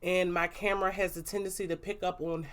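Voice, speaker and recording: Loud, upbeat woman vlogging about budgets. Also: on the low side, flat delivery, a little fast. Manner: loud, upbeat and chatty, with slangy asides. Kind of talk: casual budgeting update. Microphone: plug-in microphone.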